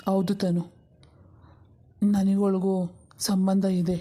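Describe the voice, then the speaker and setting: A woman voicing an earnest, protective young man in a cartoon story, speaking firmly and deliberately as he explains himself. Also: medium pitch, flat delivery, very noisy, close to an everyday voice. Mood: hesitant confession. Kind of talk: amateur cartoon story dialogue.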